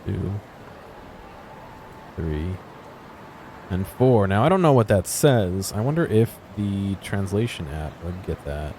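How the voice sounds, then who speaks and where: Casting: male game commentator, low register, casual and musing, unscripted, some background noise. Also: animated, slow, pauses often. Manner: musing, thinking aloud. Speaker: gameplay video host.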